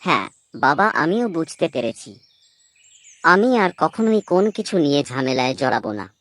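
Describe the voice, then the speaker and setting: A woman's voice: low voice, big pitch swings, moderate pace, no room sound. A woman voicing the youngest son of a farmer who argues in a whiny, insistent way. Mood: remorseful.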